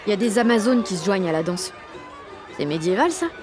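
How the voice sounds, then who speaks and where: A woman's voice: mid-range voice, expressive, fast, music in the background, a crowd in the background, natural voice. An actress with a French accent playing a cocky sixteen-year-old girl who narrates her day in slangy, sweary audio fiction. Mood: brash, excited. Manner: slangy, sweary, punchy inner monologue. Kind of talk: first-person audio fiction monologue.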